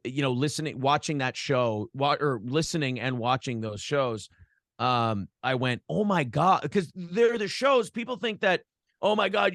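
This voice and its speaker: A man's voice: a little high, dry recording, clean studio sound. An American man chatting casually and profanely as an interview guest, a broadcaster who tells stories by acting out imagined quotes. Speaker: podcast host being interviewed, former radio broadcaster.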